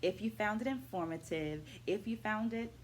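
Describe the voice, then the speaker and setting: A middle-aged woman talking through a book for her viewers in a measured, deliberate, instructive way. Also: medium pitch, a little hiss or hum. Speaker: book-review vlog host, dance educator. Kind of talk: educational book discussion to camera.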